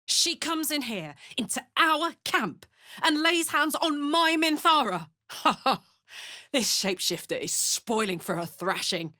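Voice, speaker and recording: An actress playing a brash, warm-hearted warrior woman in a video game, with an earnest, salty, blunt manner. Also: sits high, animated, a little slow, no echo, studio quality, heavily acted. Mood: fired up. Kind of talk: video game character voice lines.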